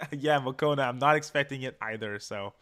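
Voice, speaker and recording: A man's voice: mid-range voice, unhurried, a bit bright. A man hosting a livestream in a laid-back, informal, slangy way, chatting casually with his viewers. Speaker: livestream host. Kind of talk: casual livestream chat, reacting and reading viewer comments. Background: music.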